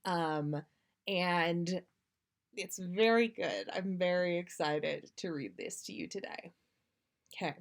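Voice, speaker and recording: A woman with a slightly low voice reads fan fiction aloud as a podcast host. Her storytelling is expressive, suited to a livestream story time. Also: takes it slowly, vocal fry.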